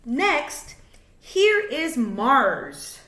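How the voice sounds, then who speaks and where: A woman reading a children's picture book aloud like a teacher, in an expressive, sing-song way with drawn-out words. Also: high-pitched. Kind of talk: read-aloud children's storytelling. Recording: echoey room.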